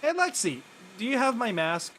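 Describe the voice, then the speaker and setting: Awkward, evasive teen boy acted by a man, animated pitch, casual drama delivery. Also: moderate pace, slight background noise, exaggerated voice. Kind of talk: casual scripted drama dialogue.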